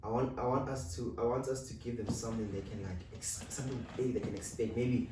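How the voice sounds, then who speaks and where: A man's voice: mid-range voice, steady tone, brisk, background noise. Easygoing male podcast co-host with a mid-range voice, looping fillers, echoey distant studio sound. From South Africa. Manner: rambling, repeats "yeah, well" and "dog". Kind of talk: casual studio chat. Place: recording studio.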